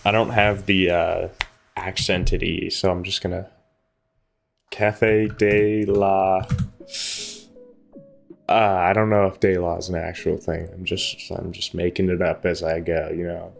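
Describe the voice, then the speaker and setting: Low-voiced man in unhurried gaming commentary. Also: little pitch movement, gravelly. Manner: joking, self-interrupting asides. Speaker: gaming video host. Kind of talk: casual let's play commentary, reading visual novel text aloud. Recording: background music behind the voice. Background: game music.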